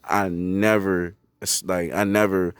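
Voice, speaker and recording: A young rapper from Connecticut talking quickly and earnestly as a podcast guest, often adding "you know what I'm saying". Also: male voice, low voice, flat delivery, clear, resonant tone, dry recording, clean studio sound. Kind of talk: casual interview talk.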